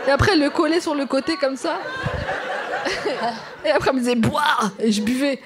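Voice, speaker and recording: A woman's voice: high-pitched, a little fast, crowd noise, background noise. A woman whose first language is Arabic, chatting casually and telling a childhood story with lots of laughter. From parents from western Algeria. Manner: lively, laughing, animated. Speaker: guest.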